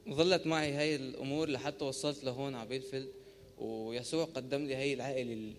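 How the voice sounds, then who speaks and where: A man from Syria with a Syrian Arabic accent telling his personal testimony in a rapid, emotional way. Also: mid-range voice, fairly even intonation, slight background noise. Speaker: baptism candidate. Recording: long church reverb.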